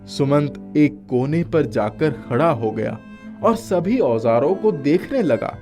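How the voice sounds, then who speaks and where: A man's voice: on the high side, lively intonation, slow, dark tone, faint music behind. A man narrating a scripted moral story as a storyteller.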